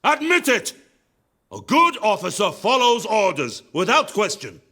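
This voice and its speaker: An actor playing a cold, sardonic and stern Soviet interrogator in a video game cutscene. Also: male voice, high-pitched, sing-song, measured pace, sharp tone, slightly rough, heavily acted. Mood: accusing.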